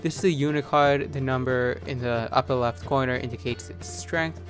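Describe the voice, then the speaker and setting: A man's voice: mid-range voice, steady tone, normal speed. A man giving casual commentary as he plays a video game, at times reading on-screen text aloud. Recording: game music behind the voice.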